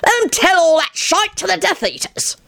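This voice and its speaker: A woman voicing a spindly, melancholy, grumbling man in a story, with a croaky voice. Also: very high-pitched, sing-song, normal speed, fairly bright tone, pitched above the performer's own voice, full character voice.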